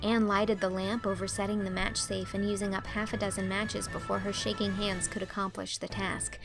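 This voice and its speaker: A woman narrates a storybook in a mid-pitched voice, reading from a script. Music plays clearly behind her. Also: flat delivery, normal speed, rough recording. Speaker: audiobook narrator and host.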